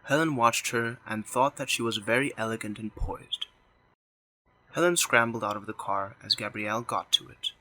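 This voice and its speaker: A man's voice: fairly low pitch, moderate pace, sharp tone, low-quality recording. A man narrating a scripted drama story, reading in the third person as narrator.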